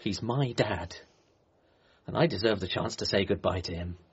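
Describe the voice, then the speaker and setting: A man's voice: deep voice, moderate pace, no room sound. An actor playing an earnest, wry bereaved son who narrates his own story in a radio comedy drama. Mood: resolute. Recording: muffled radio recording with clear noise.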